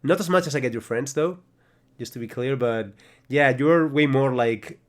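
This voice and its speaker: A man's voice: medium pitch, normal speed. A man co-hosting a podcast. He chats casually and fills his speech with "like" and "you know". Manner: chatty, with a steady stream of "like" and "you know". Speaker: podcast co-host. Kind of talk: casual chat.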